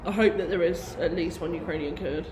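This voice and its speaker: A woman co-hosting a livestream, chatting casually and excitably with frequent gasps and laughs. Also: fairly low pitch, brisk. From England. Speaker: livestream co-host. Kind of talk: casual chat. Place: arena press area. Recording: echoey hall with noise.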